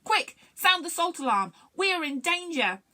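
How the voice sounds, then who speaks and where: Female reader as cowardly, excitable slug alien for a storybook, high and theatrical. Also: a little slow, exaggerated voice. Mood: panicked. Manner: dramatic, gasping exclamations. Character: excitable, easily frightened slimy slug-like aliens.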